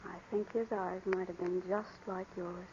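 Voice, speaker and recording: A woman's voice: fairly low pitch, steady tone, moderate pace, background noise, lightly acted. An actress playing a plucky, tender young working woman and mother in 1940s radio drama style. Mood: tender, wistful. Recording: muffled vintage radio recording, faint music.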